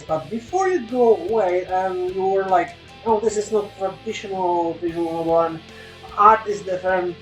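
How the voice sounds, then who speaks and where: A woman who is not a native English speaker, giving an unscripted game review in a halting, hesitant way with broken grammar. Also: medium pitch, a little mellow. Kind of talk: unscripted review commentary over gameplay. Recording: roomy echo, distant microphone. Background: acoustic guitar music.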